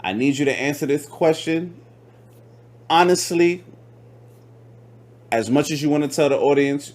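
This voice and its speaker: A man's voice: fairly high pitch, measured pace, darkish tone. A man in his late twenties hosting a casual interview, slangy and joking, often talking over his guest. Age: late 20s. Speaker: host.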